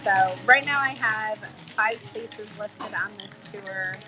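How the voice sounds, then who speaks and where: A woman travel vlogger with a cold, her voice a bit hoarse, chatting in a bubbly, gushing way to her live viewers from a bar. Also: mid-range voice, takes it slowly, rough recording. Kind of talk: casual live-stream chat with viewers. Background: music playing in the bar.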